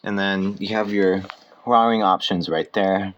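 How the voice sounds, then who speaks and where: A man casually presenting equipment as a hobbyist, in an informal, halting manner, correcting himself as he goes. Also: deep voice, steady tone, dull audio. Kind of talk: casual hands-on demonstration. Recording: clear background noise.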